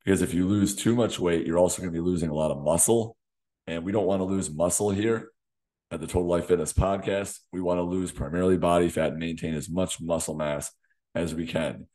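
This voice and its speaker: A man in his thirties, a fitness coach hosting a solo podcast, slightly hoarse from losing his voice, talking in a direct coaching way in a small home gym on a desk mic. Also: low voice, steady tone, brisk, warm tone. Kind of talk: solo podcast talk to camera. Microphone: desk condenser mic in front of him.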